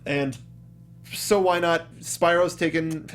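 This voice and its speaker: A man's voice: a little high, fairly even intonation, slow, soft background music. A man hosting a casual panel chat about video games, giving eager opinions with hesitant restarts. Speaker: show host.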